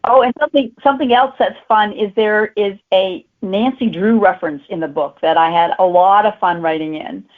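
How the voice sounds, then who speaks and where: A woman's voice: mid-range voice, moderate pace, hardly any pauses. Woman guest on a tinny phone line. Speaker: author being interviewed. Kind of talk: casual interview talk.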